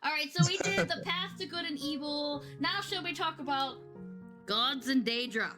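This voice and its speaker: A woman hosting a podcast in casual chat, giggly and self-mocking, with a boomy voice. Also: normal speed, over loud music. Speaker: podcast host.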